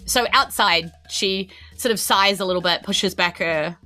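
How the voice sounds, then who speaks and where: A woman's voice: medium pitch, measured pace, bright, forceful tone, faint music behind. A woman with a New Zealand accent narrating a tabletop game as game master, chatty and informal.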